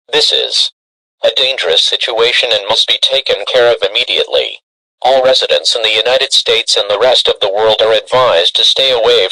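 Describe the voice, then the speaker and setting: A stern emergency broadcast announcer played by a man reads a scripted warning in a low, forceful voice. The delivery is formal and measured, and the sound is very dry. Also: no room sound, rough recording.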